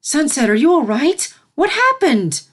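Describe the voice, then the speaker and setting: A woman's voice: sits high, very animated, a little slow, pitched above the performer's own voice. A woman voicing a caring, regal winged unicorn princess, a motherly mentor in a storybook reading. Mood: alarmed.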